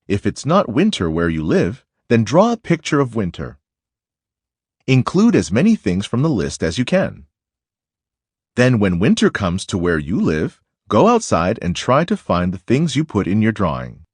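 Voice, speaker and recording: A man reading a children's nonfiction picture book aloud, phrasing it deliberately for young listeners. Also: on the low side, huge pitch swings, darkish tone, husky, no echo, clean studio sound.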